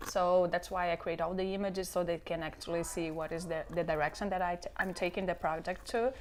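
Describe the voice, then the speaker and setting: A woman's voice: fairly low pitch, flat delivery, brisk, slight background noise. A middle-aged woman from Brazil, Portuguese her first language, speaking English with a Brazilian accent as an interview guest, talking in a chatty way with many restarts. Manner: chatty, restarts and repeats words, laughs often.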